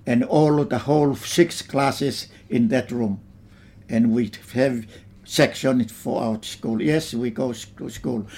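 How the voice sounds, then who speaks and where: Brief: older man from Tonga, interviewee, unhurried and plain-spoken, mid-pitched voice. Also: a little mellow. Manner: slow, plain reminiscing with simple phrasing. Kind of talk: oral history interview.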